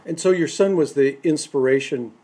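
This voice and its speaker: Measured male radio host. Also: fairly high pitch, dark tone, breathy edge. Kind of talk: radio interview and introduction.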